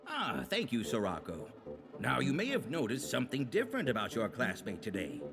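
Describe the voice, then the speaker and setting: An actor playing a formal, didactic male teacher in a fantasy visual novel, speaking in a measured, lecturing way. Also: mid-range voice, huge pitch swings, creaky, over music. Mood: formal, instructive. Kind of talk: scripted visual novel voice acting.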